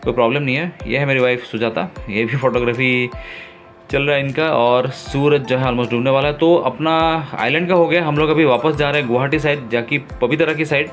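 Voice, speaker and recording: A man narrating his own travel vlog in a relaxed, enthusiastic way, pausing often with fillers, filmed on a phone outdoors on a river boat. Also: mid-range voice, soft background music. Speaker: travel vlogger.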